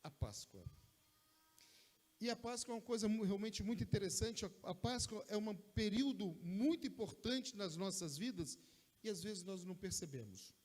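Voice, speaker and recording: Brazilian preacher in a reverberant church. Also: male voice, high voice, lively intonation, moderate pace, darkish tone. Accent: Brazilian Portuguese. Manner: conversational, with frequent "né?" asides. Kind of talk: sermon. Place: church sanctuary. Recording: long church hall reverb.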